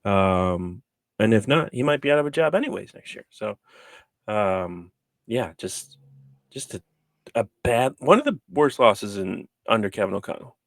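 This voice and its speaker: Man, low voice, rambling, hesitant, casual sports podcast, dry close sound. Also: lively intonation, unhurried, no room sound. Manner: rambling, hesitant, with frequent restarts. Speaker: podcast co-host.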